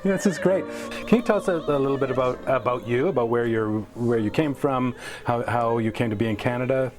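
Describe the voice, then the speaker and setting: A man's voice: mid-range voice, a little fast, darkish tone, faint music behind. A man hosting an online interview, enthusiastic, often restarting and rephrasing his questions. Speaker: host, artistic director. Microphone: video call.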